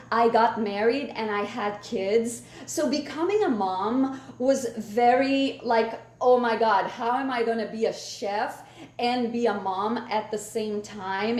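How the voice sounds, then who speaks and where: A woman's voice: a little high, a little mellow. A middle-aged woman from Brazil with a Brazilian accent and native Portuguese, talking with passion as an interview guest, telling her story conversationally. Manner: enthusiastic, passionate. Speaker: guest chef and cookbook author. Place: indoors on a video call. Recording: roomy, echoey video call.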